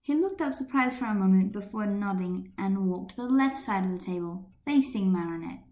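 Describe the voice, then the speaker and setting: A woman's voice: medium pitch, animated, brisk, warm tone. A woman reading fan fiction expressively as an amateur narrator, recorded on a computer microphone. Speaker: amateur podfic reader. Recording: echoey room, computer microphone.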